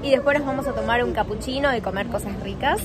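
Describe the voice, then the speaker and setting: A woman's voice: on the high side, quick pace, crowd noise. A woman in her twenties with an Argentine accent, chatting casually and effusively to a phone camera as a vlogger. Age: 20s. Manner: effusive, gushing. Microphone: phone at arm's length.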